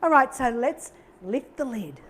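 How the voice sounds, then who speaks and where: A middle-aged woman with an Australian accent, hosting a live cooking demonstration. Her voice sits high and she talks in a bubbly, chatty, upbeat way, full of little exclamations as she cooks. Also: animated, unhurried, slight background noise. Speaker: cooking show host.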